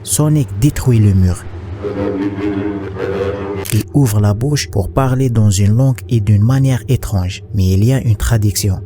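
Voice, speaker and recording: Male narrator over clear background noise. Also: on the low side, measured pace. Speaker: video narrator. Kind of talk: scripted explanatory narration of horror videos.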